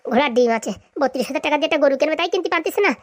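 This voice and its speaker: A woman's voice: high-pitched, very fast, no room sound, clean studio sound, lightly acted. A woman voicing an indignant, sarcastic villager in a comic cartoon, argumentative and long-winded. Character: cheeky, indignant villager accused of theft, talking back.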